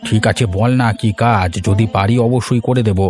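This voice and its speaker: A man's voice: lowish voice, steady tone, moderate pace, darkish tone, soft background music, close to an everyday voice. A man voicing a weary, pleading old village fisherman in a cartoon moral story. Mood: pleading, reluctant. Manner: pleading, reluctant.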